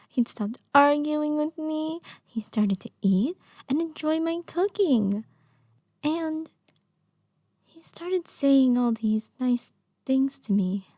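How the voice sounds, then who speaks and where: A high, soft-toned young yandere girl played by a woman speaks very slowly and close in a dry recording. She is coy, pouty and lovestruck, slipping into half-whispers and bashful hesitations in an intimate ASMR roleplay. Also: no echo, exaggerated voice. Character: lovestruck obsessive young yandere girl under arrest, playfully unrepentant.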